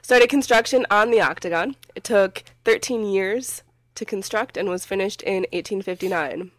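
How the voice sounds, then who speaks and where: A woman telling a story over a dry, close microphone, her throat a little scratchy from a cold. She rambles and giggles her way through it at a middling pitch. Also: moderate pace, no room sound. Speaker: podcast host. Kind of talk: casual chat.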